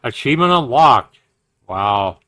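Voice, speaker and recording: A man livestreaming a visual novel, reading it out dramatically and deliberately with casual asides. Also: medium pitch, very animated, raised voice, noisy background. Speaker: game streamer. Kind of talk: reading a visual novel aloud with live reactions. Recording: music behind the voice. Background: game music.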